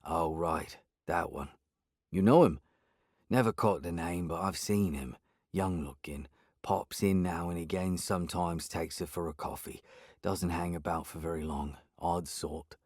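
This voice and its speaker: A man voicing a laconic, nearsighted boardwalk local who speaks in short, low, hushed phrases. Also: measured pace, no room sound, clean studio sound.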